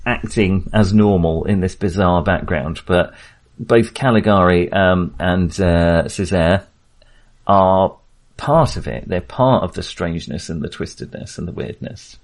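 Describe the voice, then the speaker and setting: A man co-hosting a podcast, discussing film casually in a halting, think-aloud way. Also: low voice. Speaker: podcast co-host.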